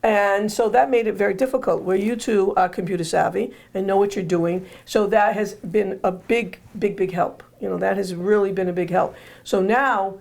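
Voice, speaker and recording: A woman hosting a casual interview, her voice hoarse and going, rambling and repeating herself with joking asides. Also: medium pitch, steady tone, normal speed, a little mellow. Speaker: host.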